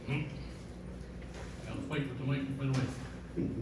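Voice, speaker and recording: An older man with a Newfoundland accent introducing himself as a council candidate, halting and repeating himself. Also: medium pitch, steady tone, distant mic, background noise. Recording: echoey hall recorded from afar.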